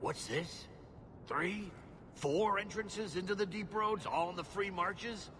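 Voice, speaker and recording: A man voicing a gruff, suspicious, money-minded dwarf expedition boss in a video game, blunt and brusque. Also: high-pitched, takes it slowly, noisy background. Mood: impressed, eager. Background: loud music.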